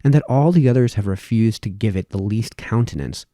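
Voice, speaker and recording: Low, expressive male reader. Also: a little fast, no room sound, clean studio sound. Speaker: reader of historical essays. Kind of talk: reading formal prose aloud.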